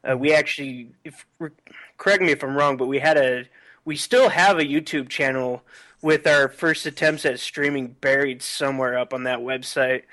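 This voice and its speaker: A man's voice: medium pitch, steady tone, normal speed, bright, forceful tone, poor audio. A man talking casually as a guest on a podcast. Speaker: podcast guest, marathon streamer. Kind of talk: casual chat.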